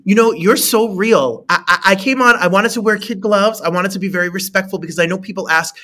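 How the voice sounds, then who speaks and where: A woman's voice: mid-range voice, brisk, a bit bright. A woman in her late thirties hosting an interview, gushing with praise and often stumbling and restarting her sentences. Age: 30s. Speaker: interview host. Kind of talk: casual interview chat.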